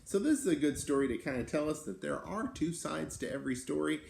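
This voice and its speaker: A man's voice: a little high, animated, fast talker, vocal fry, darkish tone. A man hosting a children's story time, reading aloud expressively and adding simple explanations and questions for young listeners. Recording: roomy echo, some background noise.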